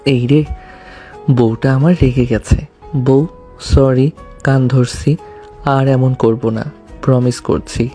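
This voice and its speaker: A young groom, played by a man, devoted and a little sheepish, in an audio romance. His voice is mellow and soft-edged, with music playing faintly behind it. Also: mid-range voice, steady tone, slow, long pauses, natural voice. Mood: sheepish, apologetic. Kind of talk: audio love story acting.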